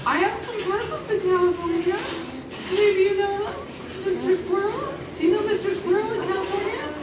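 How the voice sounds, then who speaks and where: A woman's voice: extremely high pitch, fairly quick, a crowd in the background, voice pushed up. A woman voicing a chatty, eager, friendly squirrel puppet in a live children's show. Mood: excited, curious. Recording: roomy, distant mic, noisy ambience.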